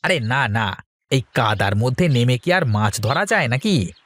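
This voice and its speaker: A man voicing an easygoing, kindly village man in a cartoon, expressive and reassuring. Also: mid-range voice, unhurried, slight background noise. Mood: doubtful. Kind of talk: cartoon voice acting.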